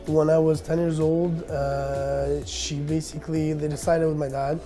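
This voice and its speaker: A man in his thirties answers interview questions, pausing often on fillers and starting his sentences over. His voice sits a little high and sounds mellow and soft. Faint music plays underneath. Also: flat delivery. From Armenian, born in Russia, raised in Canada. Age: 30s. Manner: hesitant, many fillers and restarts. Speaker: business owner.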